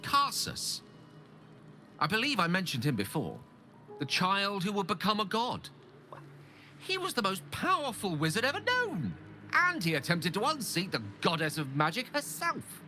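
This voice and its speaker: A learned male wizard with a hunger for godlike power, in a fantasy video game. His voice is bright and forceful, with lively swings of pitch. He phrases things grandly and pauses for drama, over faint music. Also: full character voice. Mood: storytelling. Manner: theatrical, erudite, measured phrasing with dramatic pauses. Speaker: game character, wizard companion.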